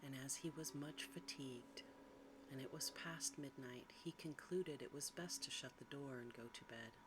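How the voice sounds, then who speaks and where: A woman reads a bedtime story in ASMR style, in a soft, breathy, hushed and soothing whisper. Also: a bass voice, moderate pace. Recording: clearly audible background noise.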